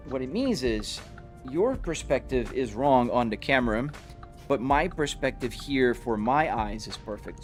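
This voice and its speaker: Man in his forties streaming a drive. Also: mid-range voice. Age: 40s. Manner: casual, talks to chat, explains his setup. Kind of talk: live-stream chat while driving.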